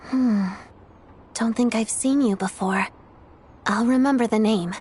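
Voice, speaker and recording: A woman's voice: a little high, unhurried, darkish tone, slight background noise, lightly acted. Woman voicing a terse young Fatui agent. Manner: terse, clipped. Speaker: game character. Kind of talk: game voice acting. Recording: faint game music behind.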